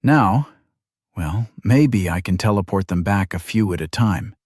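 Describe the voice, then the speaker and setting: A male narrator voicing a young healer worn down by guilt, defensive and tired when pushed. The voice is low and dry, read close to the mic. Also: animated, a little slow, a little mellow, breathy edge, no room sound, exaggerated voice. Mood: frustrated.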